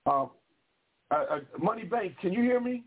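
A man's voice: high-pitched, expressive, moderate pace, a crowd in the background. Teasing man hosting call-in radio by phone. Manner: teasing, chatty, calls on callers by name. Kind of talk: casual call-in radio banter. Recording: thin phone line with faint hiss.

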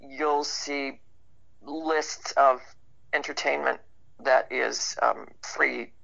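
Very gravelly, bright-voiced woman. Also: very deep voice, steady tone, extremely slow. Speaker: interview guest, actor and writer. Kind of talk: casual conversational interview. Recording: dull band-limited radio call.

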